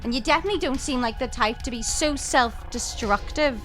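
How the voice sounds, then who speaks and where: A woman's voice: high-pitched, fairly quick, fairly bright tone, faint music behind. A woman playing a blunt, defiant young student in an audio drama, speaking in a challenging way.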